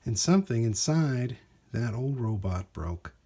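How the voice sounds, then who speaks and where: A man reading a children's storybook aloud in an animated, expressive way with dramatic emphasis. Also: mid-range voice, a little slow. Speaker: storybook reader.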